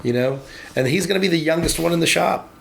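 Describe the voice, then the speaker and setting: A man's voice: on the high side, fast talker, gravelly, slight background noise. A man in his forties chatting casually at a tattoo shop, rambling and swearing, often restarting his sentences. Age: 40s. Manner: rambling, profane, many restarts. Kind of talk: casual chat.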